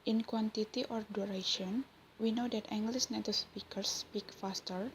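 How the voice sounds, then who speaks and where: A young woman from Indonesia with an Indonesian accent, reading a class presentation in English with a careful, even delivery. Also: medium pitch, moderate pace, a little hiss or hum, low-quality recording. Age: young adult. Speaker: student presenter.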